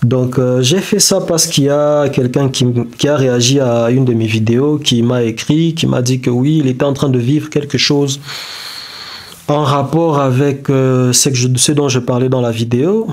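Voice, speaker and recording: A man whose first language is not French gives a reflective motivational talk, halting and searching for words with many restarts. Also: mid-range voice, fairly even intonation, a little mellow. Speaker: self-development video creator. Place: indoors beside a whiteboard diagram.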